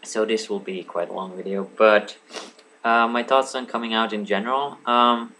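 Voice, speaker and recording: A man's voice: fairly low pitch, fairly even intonation, normal speed, sharp tone, a little hiss or hum. A young man in his late teens, a native Swedish speaker, rambling casually to a webcam at home, full of ums, asides and apologies. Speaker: vlogger. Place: home room lit by a lamp.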